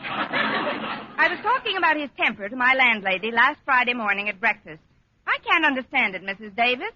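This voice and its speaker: A woman playing a wry, quick-witted schoolteacher in a 1940s radio comedy, dry and wisecracking. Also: fairly high pitch, big pitch swings, sharp tone. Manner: dry, wisecracking, crisply articulated. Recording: dull, noisy 1940s radio recording.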